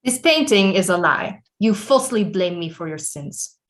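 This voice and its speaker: A woman's voice: medium pitch, animated, normal speed, natural voice. A woman performs an older French queen mother for an audio play. She is proud and guarded, with a mid-range voice and formal, imperious, theatrical phrasing. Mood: defensive, stern. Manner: formal, imperious, terse retorts.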